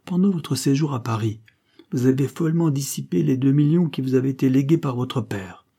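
Guy doing a stern old marquis, higher than his usual voice, husky, cutting and forceful. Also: fairly quick, natural voice. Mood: stern accusing. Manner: forceful, indignant reproach. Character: stern older male aristocrat, accusing and scornful.

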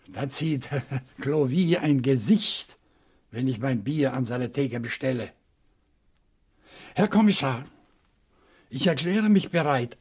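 A man's voice: medium pitch, breathy edge. An actor playing a dignified, wary professor in his seventies, speaking formal, articulate German radio-drama lines. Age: 70s. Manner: articulate, formal, indignant pride. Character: dignified, wary seventy-year-old French professor living among books.

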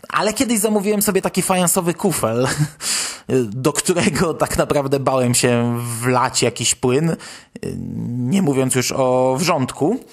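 A man hosting a podcast, presenting news with informal personal commentary. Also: on the high side. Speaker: podcast host.

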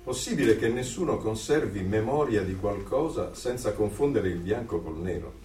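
A man's voice: lowish voice, animated, moderate pace, darkish tone, a little hiss or hum, lightly acted. A man acting an earnest, reflective dissident voicing secret thoughts in a radio drama. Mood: troubled. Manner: reflective, intense. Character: earnest, brooding male dissident narrating his secret thoughts. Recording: echoey, distant over music.

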